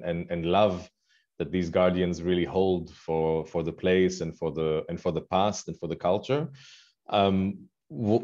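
A man interviewing a film director at a festival, halting and repeating words as he asks his questions. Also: sits low, a little mellow, highs cut off.

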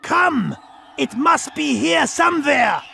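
A man voicing a harsh German officer who barks orders in a strained, gravelly shout. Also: extremely high pitch, very animated, slow, slight background noise, pitched above the performer's own voice, exaggerated voice. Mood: barking. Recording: music playing underneath.

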